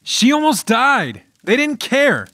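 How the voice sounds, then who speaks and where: Man acting as a high, raspy, distraught teenage boy. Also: wildly swooping pitch, slow pace, bright, forceful tone, dry recording, exaggerated voice. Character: distraught injured teenage brother, protective of sister. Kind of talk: dramatized audiobook acting.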